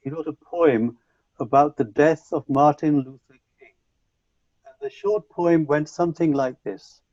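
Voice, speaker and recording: A man whose first language is Punjabi, giving an online lecture to teachers in a measured, reflective way with frequent restarts, heard over a video call. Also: a little high, darkish tone, no room sound. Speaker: conference speaker. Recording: dull lo-fi video call audio.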